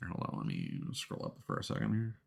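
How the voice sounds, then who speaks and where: A man with a fairly low voice streams a game with casual commentary. His pitch swings around a lot as he talks. He thinks aloud, often halting as he works through what he sees. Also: wildly swooping pitch, creaky, breathy edge. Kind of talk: casual live gameplay commentary.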